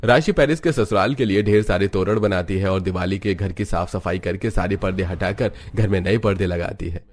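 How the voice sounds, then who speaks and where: Low-pitched man narrating a cartoon story. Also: normal speed. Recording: music bed under the voice.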